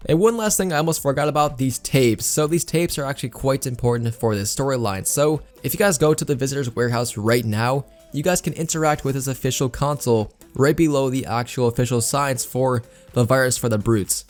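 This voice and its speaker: A man's voice: mid-range voice, a little fast, hardly any pauses. A man hosting a gaming news video, explaining updates in YouTube commentary style with repeated emphasis words. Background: background music.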